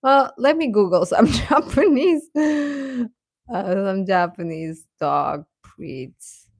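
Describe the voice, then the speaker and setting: Female livestream host: casual, playful, unhurried delivery, mid pitch, dry sound. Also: expressive, no echo. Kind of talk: casual chat with live viewers.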